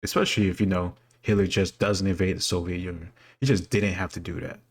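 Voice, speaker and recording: A man's voice: sits low, fairly even intonation, a little fast, husky. A man speaking informally and off the cuff, rambling and thinking aloud as he comments on quiz questions. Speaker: host reacting to history quiz questions.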